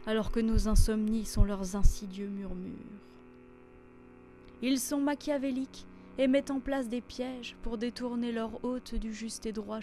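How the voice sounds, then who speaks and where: A woman recites dramatic verse over instrumental music. Her voice sits a little on the high side. The delivery is theatrical, deliberate and solemn. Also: warm tone.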